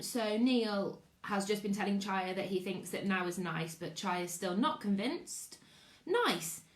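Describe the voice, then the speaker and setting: Lady teacher reading a kids book live, expressive, echoey room. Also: medium pitch. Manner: expressive storytelling with character voices. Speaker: teacher reading to pupils online. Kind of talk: live read-aloud of a children's novel with chat to listeners.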